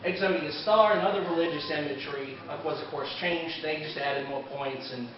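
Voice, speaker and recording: A man giving an informal fan panel lecture at a convention, a bit halting and given to joking asides. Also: a little high. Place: indoor convention panel room. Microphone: distant camera mic. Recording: echoey convention room, distant muffled camera mic, crowd. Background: audience crowd noise.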